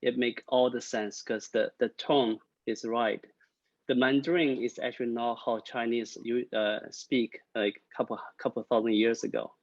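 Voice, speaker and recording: A man from the audience asking a question haltingly, with many fillers and broken phrasing. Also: mid-range voice, steady tone, no echo. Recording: dry, dull lo-fi audio.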